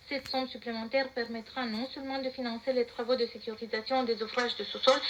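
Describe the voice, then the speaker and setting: A woman with a Romanian accent reading a news bulletin in an even, steady delivery. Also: on the high side. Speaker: news presenter. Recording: muffled shortwave radio with hiss.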